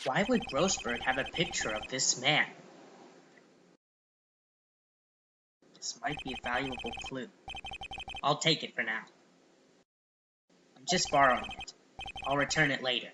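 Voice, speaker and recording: A man's voice: medium pitch, moderate pace, long pauses, background noise, close to an everyday voice. A man voicing an exasperated, determined young defense lawyer in a video game fan dub, with wry asides and emphatic outbursts. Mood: musing, wry.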